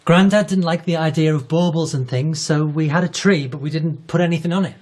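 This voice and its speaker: An actor in a naturalistic radio drama playing a smug, protective son, self-assured and dismissive. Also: male voice, on the high side, a little fast, darkish tone, natural voice.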